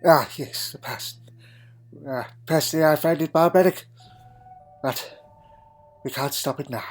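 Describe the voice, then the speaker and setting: A man's voice: a little high, normal speed, faint music behind, close to an everyday voice. A man playing a crotchety, dry-witted elderly time traveller in a fan audio drama, fussy and full of questioning hums. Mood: tetchy, musing. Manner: fussy, punctuated with questioning hmms.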